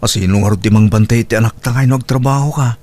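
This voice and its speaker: A man plays a young Ilocano husband in a radio-style drama, with a mid-pitched voice. He is hard-working and apologetic, speaking hesitantly and pleadingly, with a Filipino accent. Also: fast, a little mellow, lightly acted. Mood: earnest.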